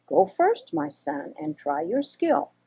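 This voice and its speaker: A woman's voice: medium pitch, sing-song, slow pace, vocal fry, breathy edge, heavily acted. An older woman voicing a firm father of three boys in a storybook, on a homemade cassette. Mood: encouraging. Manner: scolding, firm. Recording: dull homemade 1980s cassette recording.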